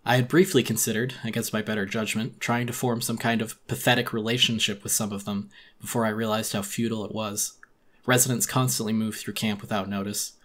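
A man's voice: fairly low pitch, level tone, a little fast, a bit bright. A man giving a dramatic first-person audiobook narration of a horror story in a weary, brooding manner.

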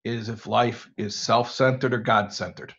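A man's voice: lowish voice, normal speed, lo-fi sound. A middle-aged man giving an informal religious talk to students, switching into anxious-sounding voices when he acts out worried questions. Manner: animated, mimics anxious self-questioning voices.

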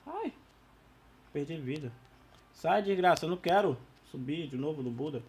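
A Brazilian man who is losing his voice, giving casual, slangy, excitable gameplay commentary. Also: a little high, normal speed, a little hiss or hum. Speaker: gaming YouTuber.

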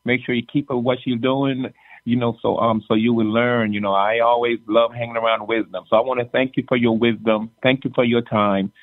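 A man's voice: medium pitch, little pitch movement, a little fast, no room sound. Joking man on a thin phone-like line. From Jamaica. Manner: joking, teasing, repeats words. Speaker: podcast co-host. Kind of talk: casual chat.

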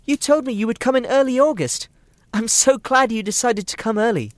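A man's voice: extremely high pitch, brisk, dry recording, voice pushed up. A man voicing an eager, affectionate young hobbit boy in a storybook audio drama. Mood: excited.

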